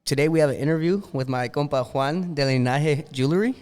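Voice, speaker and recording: A man hosting a podcast, with a smooth, resonant voice pitched a bit high. He talks loose and friendly, full of slang and Spanish phrases, and the room rings with a long echo. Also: a little fast, darkish tone. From Los Angeles area, US. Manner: laid-back slang, mixes in Spanish. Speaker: podcast host. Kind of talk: casual interview chat.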